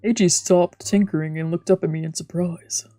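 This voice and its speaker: A woman with a slightly low voice narrates a visual novel as a streamer would, in a casual way. She is close and dry on the mic, with music faintly behind her. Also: steady tone, normal speed, warm tone, no room sound. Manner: casual, slangy. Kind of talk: narration and casual dramatised reading.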